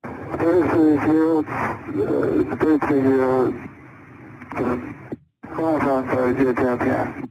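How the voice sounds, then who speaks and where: A man speaking as a pilot over aviation radio, giving halting readbacks and repeating his words. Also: mid-range voice, flat delivery, highs cut off. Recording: noisy cockpit radio transmission.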